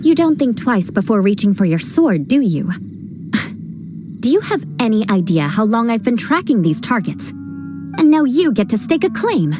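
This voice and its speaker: A proud noble knight, cool and haughty, expressive lines over game music. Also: female voice, a little high, a little slow. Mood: scornful. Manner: haughty and dry, vows vengeance over every slight. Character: proud young noblewoman knight captain sworn to vengeance. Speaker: knight captain. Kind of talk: video game voice acting. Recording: game dialogue with background music.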